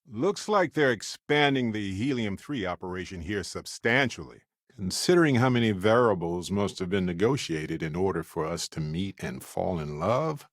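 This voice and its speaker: Expressive, low-voiced male space explorer. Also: dry recording, clean studio sound. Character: wry, adventurous, warm-hearted male spacefaring explorer companion. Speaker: video game voice actor. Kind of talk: acted video game companion dialogue.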